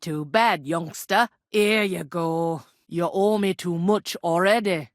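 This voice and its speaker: A woman voicing a gruff, teasing medieval tavern innkeeper in a video game, with a blunt, folksy, scolding way of talking. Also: on the low side, slow pace, no echo, clean studio sound. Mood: scolding, haggling. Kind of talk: scripted game character dialogue.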